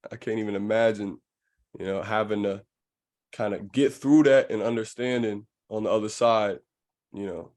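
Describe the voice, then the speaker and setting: A man's voice: fairly low pitch, steady tone, normal speed, darkish tone. A man hosting a podcast in casual conversation, with laid-back, slangy phrasing and an affirming way of talking. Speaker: podcast host.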